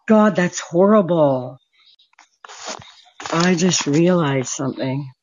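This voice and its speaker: A woman's voice: lowish voice, expressive, drawn-out words, darkish tone, a little hiss or hum. Chatty forty-year-old woman, dull lo-fi sound. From Canada. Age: 40s. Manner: chatty, laughs often, candid. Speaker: stream host. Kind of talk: casual chat with a caller.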